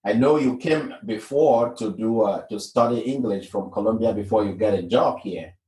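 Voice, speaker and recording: A man's voice: on the low side, normal speed, a little mellow. A man hosting a podcast, interviewing his guest in an encouraging way with plenty of fillers. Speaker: podcast host. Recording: echoey room, distant mic.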